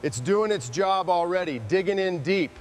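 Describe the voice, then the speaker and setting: A man with a slightly high voice talks through a restoration job as he goes. He speaks in short, casual work phrases, with some faint noise behind him. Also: lively intonation, moderate pace. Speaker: restoration video narrator.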